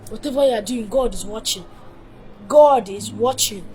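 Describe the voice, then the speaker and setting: A woman's voice: on the high side, normal speed, a little mellow, soft background music, natural voice, low-quality recording. Woman playing a reproachful grown child. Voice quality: reproachful and preachy. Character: grown child rebuking mother.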